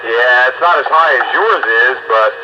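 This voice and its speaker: A man's voice: medium pitch, moderate pace. An American man in casual, folksy conversation as an amateur radio operator over two-way radio. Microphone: two-way radio microphone. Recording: muffled radio transmission with clear static noise.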